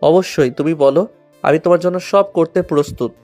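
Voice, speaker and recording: A man's voice: on the high side, moderate pace, darkish tone, faint music behind, lightly acted. Man playing an earnest, lovestruck young suitor. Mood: devoted, reassuring. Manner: tender, earnest, reassuring. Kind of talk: romantic Bengali audio story acting.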